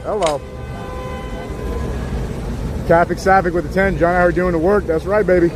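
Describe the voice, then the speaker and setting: A man with a hoarse, worn-out voice chatting casually to his live-stream viewers outdoors on a city street. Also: high voice, steady tone, moderate pace. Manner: chatty, laid-back, talks straight to viewers. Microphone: small clip-on mic. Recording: loud street noise outdoors.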